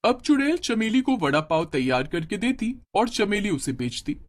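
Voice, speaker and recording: A male storyteller narrates a written moral tale. His voice sits high for a man, in a scripted reading style. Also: very animated, moderate pace.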